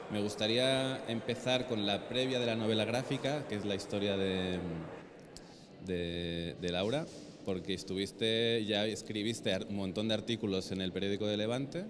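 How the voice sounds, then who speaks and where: Over clearly noisy convention ambience, a man with a fairly low voice conducts a casual interview. His phrasing is informal and a little tentative as he feels his way through each thought. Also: a little slow. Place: public comic convention.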